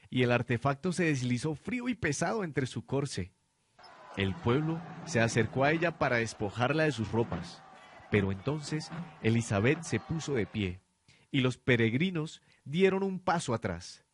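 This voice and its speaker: A man's voice: medium pitch, big pitch swings, normal speed. A man reading dramatic scripted narration for a radio novel. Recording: clear background noise, amateur student production.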